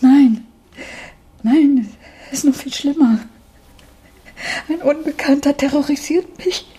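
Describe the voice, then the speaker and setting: A woman's voice: high-pitched, takes it slowly, warm tone. A woman in her forties playing a frightened, worn-down schoolteacher in a radio crime drama, speaking anxiously and hesitantly. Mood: despairing. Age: 40s. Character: distressed, frightened forty-year-old schoolteacher, worn down.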